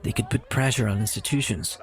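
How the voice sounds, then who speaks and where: An actor playing a reflective, resigned man in a radio drama, explaining things in a measured, musing way. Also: lowish voice, a faint crowd, natural voice. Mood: somber, resigned.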